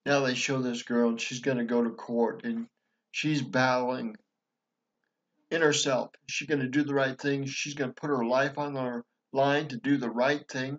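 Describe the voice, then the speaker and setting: A man's voice: mid-range voice, little pitch movement, moderate pace, dull audio. A man from Montana giving casual, earnest commentary on a film, rambling with many fillers and restarts. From Montana, USA. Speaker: vlogger reviewing a film.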